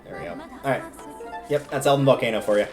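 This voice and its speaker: A man's voice: mid-range voice, expressive, quick pace, a little mellow. A man livestreaming a video game, giving casual commentary and thinking aloud in a conversational way as he plays. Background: video game music.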